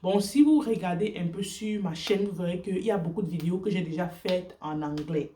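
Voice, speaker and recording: A woman whose first language is English speaks in earnest, halting French, with many fillers and restarts, sharing her faith on a casual vlog. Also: on the low side, warm tone, distant mic, slight background noise.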